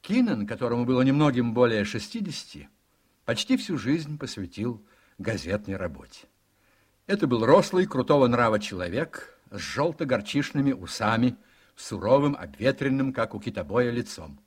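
A man's voice: mid-range voice, very animated, normal speed, husky. A man narrating a radio play in a scripted, dramatic storytelling style.

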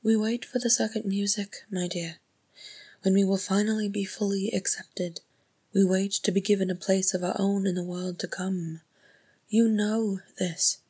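A woman narrator voicing a gentle, coaxing dwarf woman who soothes her kin in a storybook. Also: medium pitch, steady tone, normal speed, husky, pitched above the performer's own voice. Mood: soothing.

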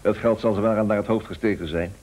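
A man's voice: fairly low pitch, steady tone, fast, darkish tone, natural voice. A man acting a sceptical, gossiping neighbour in a Dutch-language radio play, musing and a little snide.

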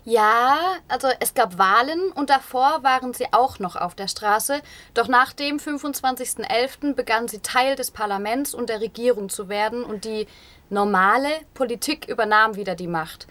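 A woman's voice: fairly high pitch, normal speed, a bit bright. A woman reading out translated interview answers as a radio voice-over.

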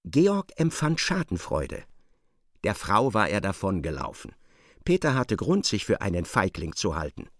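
A man acting as the sardonic narrator of a literary radio play, articulate and expressive. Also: fairly low pitch, unhurried, dry recording, studio quality, exaggerated voice. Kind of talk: dramatised scripted narration.

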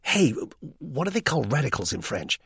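A man's voice: mid-range voice, big pitch swings, vocal fry, a bit bright, breathy edge, dry recording, studio quality, pitched above the performer's own voice, full character voice. A man voicing a young woman with a hesitant, doubtful manner in an audiobook. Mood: helpless. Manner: doubtful, halting speech. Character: hesitant, giggly young American woman, helpless, friendly.

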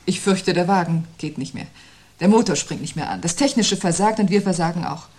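Sober resigned wife, fairly low pitch, grave measured delivery, quiet dread, 1970s radio play. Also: female voice, breathy edge. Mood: sober resignation. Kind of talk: 1970s German radio drama acting.

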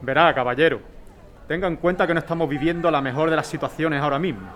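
A man playing a principled city mayor, courteous and measured yet firm. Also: a little high, a bit bright, a little hiss or hum, close to an everyday voice. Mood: firme. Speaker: mayor in a radio drama. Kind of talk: amateur radio drama acting.

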